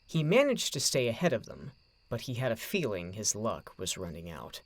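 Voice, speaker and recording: A man narrating a scripted story in a measured, dramatic way, with deliberate phrasing. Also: lowish voice, huge pitch swings, no echo.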